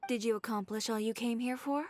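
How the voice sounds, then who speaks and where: An actress playing a composed, courteous woman with quiet fondness in a video game. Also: fairly high pitch, steady tone, fairly quick, a little hiss or hum, lightly acted. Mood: calm, pleased. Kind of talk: English video game voice acting.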